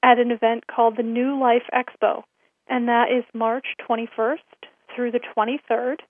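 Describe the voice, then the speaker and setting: A woman hosting a radio show, hoarse from a cold, giving friendly announcements with many fillers. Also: fairly high pitch, level tone, normal speed. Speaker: host. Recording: thin phone-line sound.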